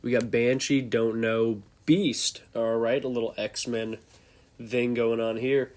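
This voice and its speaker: Young guy with a cold, kinda stuffy, talks slow and thinks out loud. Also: medium pitch, level tone. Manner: loose, off-the-cuff, thinking aloud. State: congested from a cold. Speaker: host. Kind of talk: casual tier-list commentary.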